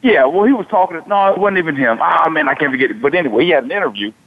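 Animated forty-something man on tinny radio. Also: fairly high pitch, very fast. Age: 40s. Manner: animated, repeats himself, colloquial. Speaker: radio show host. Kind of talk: sports talk radio chat. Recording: tinny phone-like radio audio.

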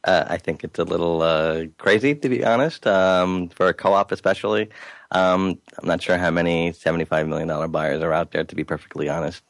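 Deep voiced new guy, radio guest, lots of ums, dry studio mic. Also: fairly even intonation, a little fast, dry recording. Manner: hesitant, many fillers. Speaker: guest, brokerage founder. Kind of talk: radio interview answers.

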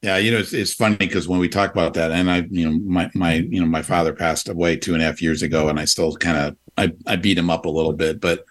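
A man in his sixties speaks in a fairly low voice, thinking aloud as he goes. He often restarts his sentences and leans on fillers while hosting a relaxed radio conversation. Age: 60s. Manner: reflective, halting, many restarts and fillers. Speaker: radio show host. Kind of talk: casual conversation.

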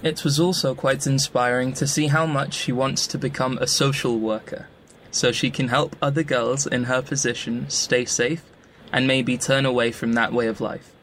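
A man's voice: medium pitch, steady tone, normal speed, fairly bright tone, soft background music, low-quality recording. A man from Leone, reading a prepared film response formally. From Sierra Leone. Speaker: student guest.

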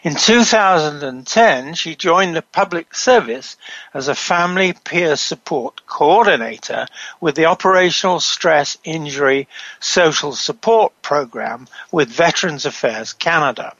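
A man hosting a talk show, reading a scripted introduction in a measured way. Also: fairly high pitch, fairly bright tone, no room sound. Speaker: host. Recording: muffled, dull, dry sound.

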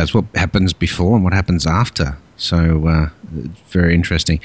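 Deep-voiced male podcast host. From Australia. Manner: chatty, many fillers and restarts. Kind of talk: casual interview chat.

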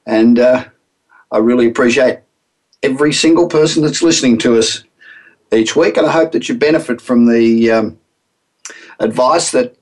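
A man's voice: mid-range voice, low-quality recording. A middle-aged man with an Australian accent, hosting a business radio show in a chatty, upbeat monologue with frequent ums.

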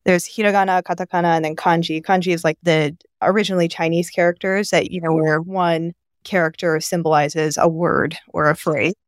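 A woman's voice: fairly low pitch, flat delivery, fairly quick, no echo, clean studio sound. A woman in her thirties, raised in Japan, talking as a guest on an interview podcast, explaining things at length in a thoughtful, easygoing way. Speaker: guest, venture investor. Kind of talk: casual interview conversation.

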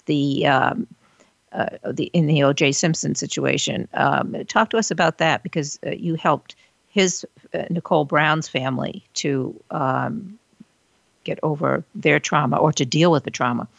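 Female host, low and gravelly, hesitant questioner, dull dry remote audio. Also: unhurried, no echo. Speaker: interviewer, host. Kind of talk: remote interview.

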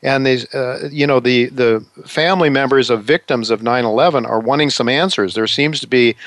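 Man, radio host, rambling, halting, many fillers, dry close sound. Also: medium pitch, fairly bright tone, no room sound. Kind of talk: talk radio interview.

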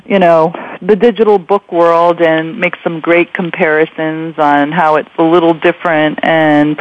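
A woman's voice: deep voice, darkish tone. A woman hosting a radio interview in a chatty, rambling, conversational way, often saying "you know". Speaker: radio show host. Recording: dull lo-fi radio audio.

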